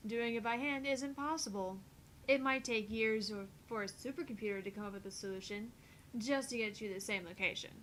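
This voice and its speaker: A woman with a fairly high voice reads visual novel dialogue aloud as a co-host. She is casual and playful, sometimes tripping over longer phrases. A faint rumble of noise sits behind her. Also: brisk, fairly bright tone, rough recording. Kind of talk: reading game dialogue aloud with casual banter.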